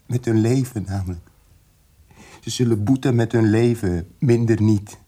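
Husky, defiant prisoner. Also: male voice, lowish voice, normal speed, mellow. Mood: shocked, vengeful. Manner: terse, guarded, emotional. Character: defiant, distressed male South African activist prisoner. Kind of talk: radio drama acting.

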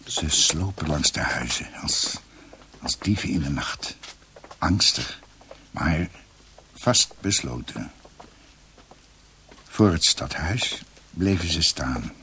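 An actor playing a grave doctor who recounts his family's past in a halting, reflective radio drama narration. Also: extremely low pitch, animated, pushed and bright, a little hiss or hum, full character voice. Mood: intent storytelling.

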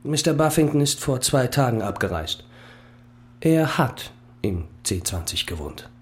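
Mann als Hotelrezeptionist, förmlich, sachlich, schwankende Tonhöhe. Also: male voice, medium pitch, sing-song, a little slow. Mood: formal. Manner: matter-of-fact, formal. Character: polite male hotel receptionist.

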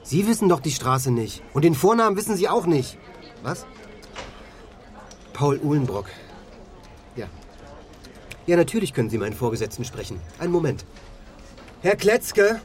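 A man's voice: fairly high pitch, very animated, moderate pace, pauses often. An actor playing a weary, irritable male call-centre agent in a naturalistic radio drama, grumbling and sarcastic. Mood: polite, strained.

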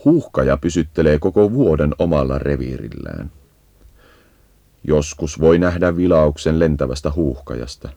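Very deep-voiced man narrating. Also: vocal fry, dark tone, no room sound. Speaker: narrator and nature guide. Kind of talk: scripted educational narration of a birdsong guide.

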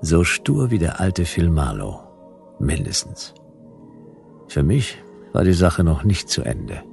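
A man's voice: deep voice, very animated, slow pace, vocal fry, a little mellow, faint music behind, full character voice. A man playing a stubborn hard-boiled detective, narrating his case in a dry, laconic, wry way in a radio play. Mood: wry, satisfied.

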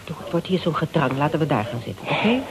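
An actress in a Dutch radio play as a frank, self-assured woman, gently teasing and probing in colloquial speech. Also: low-pitched, big pitch swings, quick pace, very noisy. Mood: challenging, teasing. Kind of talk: naturalistic Dutch radio drama acting. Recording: radio play with crowd chatter behind.

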